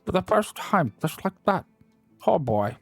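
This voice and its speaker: An old miser, put on by a man reading aloud, who gushes in a raised, strained voice. His pitch leaps and dips all over, and every phrase tumbles out breathless and high-strung. The sound is close and dry. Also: big pitch swings, unhurried, creaky, fairly bright tone, no echo, faint music behind, full character voice. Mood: ecstatic. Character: old male miser, overwrought between laughter and tears.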